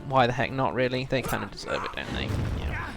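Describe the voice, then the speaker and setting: A man's voice: mid-range voice, very animated, brisk, vocal fry, loud music behind the voice. A man chatting casually as he hosts a game livestream, rambling and thinking aloud to his chat while a bit under the weather with a cold. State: feels full of cold, often clearing throat.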